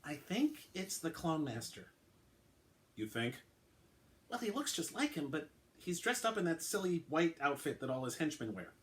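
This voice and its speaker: A man's voice: a little high, sing-song, moderate pace, voice pushed up. A man voicing a reluctant uniformed police officer who explains things hesitantly and apologetically.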